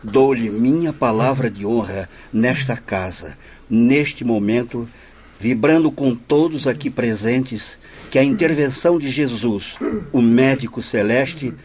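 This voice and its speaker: A man's voice: medium pitch, slow pace, a little mellow, husky. An actor playing a compassionate, solemn spiritist leader in a radio drama, speaking like a fatherly preacher. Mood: solemn reassurance. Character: compassionate, solemn male spiritist leader consoling lost souls. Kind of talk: radio drama acting, prayerful oratory. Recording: music behind the voice.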